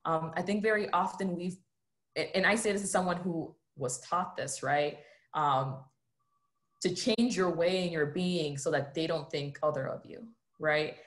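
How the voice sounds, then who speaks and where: A woman with a low, grounded voice speaks on a video-call panel. She is earnest and reflective, gestures with her hands as she talks, and keeps checking in with her listeners. The call audio is plain and close. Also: unhurried. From United States. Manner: earnest, reflective, often tags "right?".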